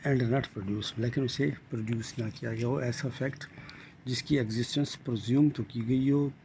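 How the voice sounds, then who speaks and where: An older man with a Pakistani accent giving an explanatory law lecture, deliberate and repeating his points in other words. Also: medium pitch, fairly even intonation, background noise. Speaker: retired judge teaching law.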